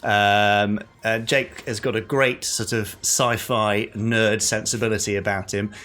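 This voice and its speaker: A man with a fairly low voice co-hosts a podcast in a casual, conversational way. Faint music plays behind his talk. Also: level tone, measured pace, sharp tone. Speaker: podcast co-host. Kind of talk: casual podcast chat and news.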